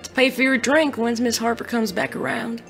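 Smooth-talking gentleman bandit acted by a woman, polite and wordy with marked vocal fry, audio Western. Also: a little high, fairly even intonation, moderate pace, soft background music. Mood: sly, courteous. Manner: genteel, formal, smooth-talking. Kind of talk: audio drama acting.

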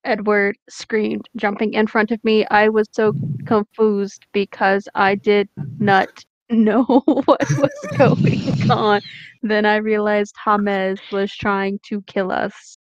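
A woman's voice: medium pitch, level tone, takes it slowly, no echo, dull audio. A woman reading fanfiction aloud casually and comedically, chatting with friends. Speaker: co-host of a group fanfiction reading.

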